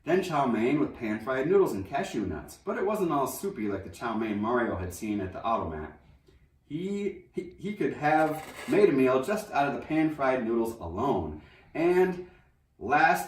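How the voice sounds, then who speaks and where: A man reading a children's novel aloud in an expressive storybook narration style. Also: mid-range voice, normal speed. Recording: roomy echo, distant microphone.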